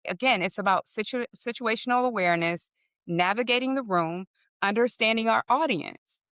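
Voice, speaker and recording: Deep-voiced woman guest, measured. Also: fairly bright tone, no room sound, studio quality. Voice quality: deeper voice. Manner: measured, explanatory, tags sentences with "right?". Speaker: podcast guest. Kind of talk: casual interview conversation.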